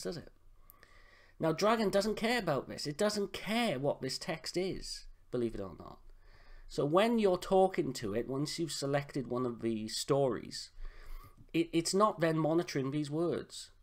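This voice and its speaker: A man with a slightly hoarse voice from a sore throat, giving a relaxed, conversational software tutorial as a voice-over on a screen recording, with frequent "uh" fillers. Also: a little high.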